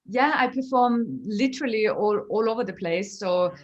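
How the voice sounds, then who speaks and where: A woman's voice: mid-range voice, moderate pace, highs cut off. Chatty Austrian woman comedian. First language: German. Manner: chatty, laughs often while telling stories. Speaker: comedian, guest. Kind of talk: casual interview chat.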